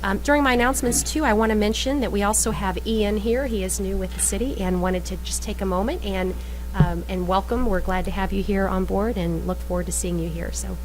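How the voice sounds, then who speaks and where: A woman's voice: medium pitch, a little fast, darkish tone, poor audio. A woman mayor chairing a city council meeting in a friendly, upbeat way, with some fillers. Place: council chamber.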